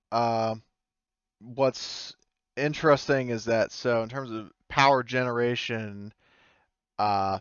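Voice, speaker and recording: A man's voice: medium pitch, a bit bright, smooth, no echo, lo-fi sound. A man running a tabletop game over a voice call, improvising his descriptions casually and breaking off often to rephrase. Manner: halting, frequent self-interruption. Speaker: game master. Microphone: online voice call.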